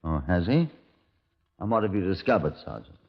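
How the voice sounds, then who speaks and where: Commanding british chief inspector, clipped, lowish voice, old dull radio recording. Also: male voice, sing-song, moderate pace. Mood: brisk, impatient. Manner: clipped, authoritative. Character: brisk, commanding Scotland Yard chief inspector. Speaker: detective narrator. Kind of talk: 1950s radio drama acting.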